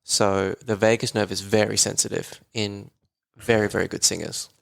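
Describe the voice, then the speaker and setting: Gravelly-voiced man, chatty. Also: on the low side, little pitch movement, moderate pace. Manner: enthusiastic, chatty, laughs often. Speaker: guest, voice therapist. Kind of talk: casual podcast conversation.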